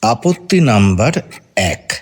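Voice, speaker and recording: A probing, skeptical detective, slightly husky. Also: male voice, on the low side, big pitch swings, takes it slowly, heavily acted. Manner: interrogating, pointed, argumentative. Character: senior male police detective, probing and skeptical. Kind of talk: radio drama acting.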